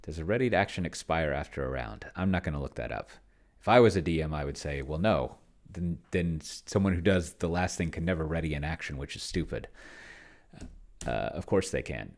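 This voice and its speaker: A man giving casual live commentary as he plays a tabletop game solo, rambling and hesitant as he thinks out loud. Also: very deep voice, animated, a little fast. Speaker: solo tabletop game player and video host.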